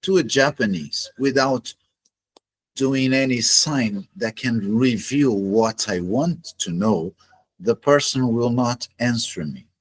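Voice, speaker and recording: Slow, husky-voiced man. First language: Portuguese. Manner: measured, deliberate, pauses between phrases. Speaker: astrology teacher. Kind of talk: solo explanatory lecture. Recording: low-quality home recording.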